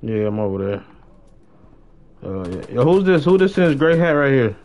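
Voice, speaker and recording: A young American man chats casually while streaming a game. His voice sits at an ordinary middle pitch, and he talks in heavy slang, often repeating short phrases. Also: sing-song, moderate pace, a little mellow. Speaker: live streamer playing a role-play game. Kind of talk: casual gaming chat.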